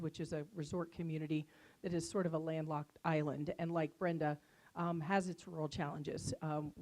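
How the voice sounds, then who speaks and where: A woman, a superintendent, speaking in a measured, conversational way on a panel. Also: deep voice, dark tone, low-quality recording. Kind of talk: panel discussion.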